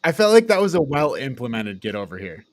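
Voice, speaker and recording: A man's voice: medium pitch, very animated, fast. A man co-hosting a casual film review chat, informal and given to swearing and laughing. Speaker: podcast co-host.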